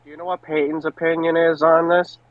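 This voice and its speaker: A man's voice: fairly high pitch, flat delivery, a little fast. A man co-hosting a podcast, chatting casually about pro wrestling on a dull, lo-fi mic. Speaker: podcast co-host.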